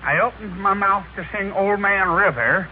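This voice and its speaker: Through the hiss of a narrow old radio recording comes a man acting an old country codger. He is high-voiced, folksy and deadpan, spinning tall tales for radio comedy. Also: moderate pace, lightly acted. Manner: dry, folksy tall-tale telling.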